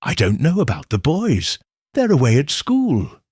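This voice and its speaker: A troubled, suspicious man puzzling out a mystery , voiced by a male audiobook reader. He speaks slowly and seriously, halting in thought, with an expressive, slightly husky tone. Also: mid-range voice, no room sound, clean studio sound, voice pushed up, exaggerated voice. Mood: thoughtful. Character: troubled, suspicious man investigating a village death. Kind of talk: audiobook character dialogue.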